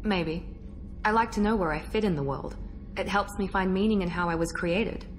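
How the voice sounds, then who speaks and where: A woman acting as a self-assured female operative in a sci-fi game, her voice on the low side. She speaks in measured, articulate phrases, proud and guarded, with music playing behind her. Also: close to an everyday voice. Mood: guarded, reflective. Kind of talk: video game dialogue acting. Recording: background music under the voice.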